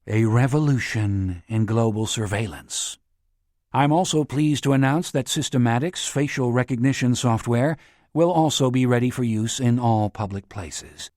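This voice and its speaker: Man voicing a male tycoon, velvety, oratorical, mid pitch, dry sound. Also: normal speed, darkish tone, dry recording, natural voice. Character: smooth-voiced male tycoon giving a grand speech.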